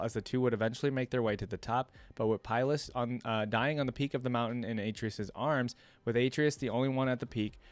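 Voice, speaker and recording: A man giving casual reaction commentary as a host, reading text aloud and adding conversational off-the-cuff remarks. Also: medium pitch.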